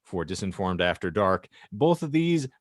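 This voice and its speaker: A man's voice: low-pitched, very animated, moderate pace, dry recording, studio quality. An American man hosting a video podcast in a jokey, wordy, playful mock-formal style. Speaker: podcast host.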